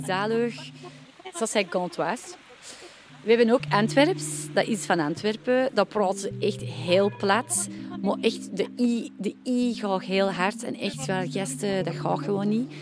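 A woman with a Ghent Flemish accent, chatting playfully and switching between languages in an interview. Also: mid-range voice. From Flanders, Belgium. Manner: lively and playful, laughing.

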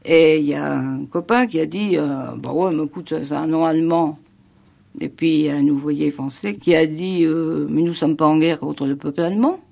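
A woman's voice: low voice, normal speed, vocal fry, warm tone. An older woman recalling events in an archival interview, speaking hesitantly with many restarts and fillers. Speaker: former resistance member interviewed.

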